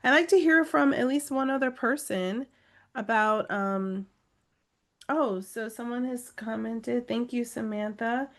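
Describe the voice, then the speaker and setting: A woman's voice: on the high side, measured pace. A woman coaching test-takers on a live video webinar, reading comments aloud and urging them on with emphatic repetition. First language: English. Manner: encouraging, pleading, emphatic repetition.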